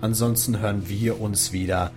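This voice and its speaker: A man hosting a casual tech podcast, talking in a relaxed, chatty way with frequent filler sounds. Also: fairly low pitch, normal speed, soft background music. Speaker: podcast host.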